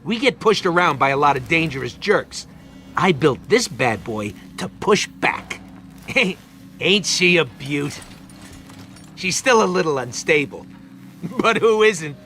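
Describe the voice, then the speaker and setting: A man voicing a small, gun-loving raccoon mercenary in an animated game, gruff, brash and wisecracking with slangy, high-strung delivery. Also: slow pace, a little hiss or hum, exaggerated voice. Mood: boastful.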